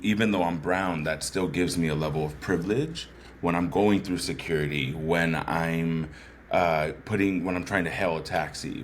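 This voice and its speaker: Deep-voiced man, earnest and thoughtful. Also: a little hiss or hum. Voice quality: bassy voice. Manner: earnest, thoughtful, frequent "right?" asides. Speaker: guest. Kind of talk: reflective conversational storytelling.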